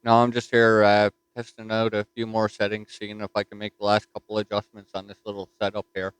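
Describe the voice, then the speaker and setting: A man with a Newfoundland accent chatting casually as a ham radio operator from his home station, relaxed and easygoing. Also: on the low side, brisk, smooth, no echo, low-quality recording. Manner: easygoing, says "roger that".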